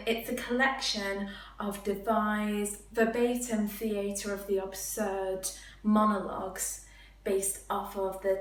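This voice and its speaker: A woman's voice: medium pitch, recorded from a distance. A young woman introducing a comic piece like a nervous audition, hesitant and awkward. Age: young adult. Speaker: comedy performer. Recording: echoey room with faint music.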